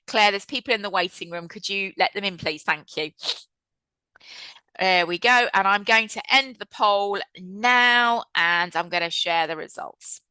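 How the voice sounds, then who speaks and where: A woman in her fifties hosting a webinar over a video call, talking in a chatty, lively and reflective way. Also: mid-range voice, no room sound, low-quality recording. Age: 50s. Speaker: webinar host and trainer. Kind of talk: presenting a webinar.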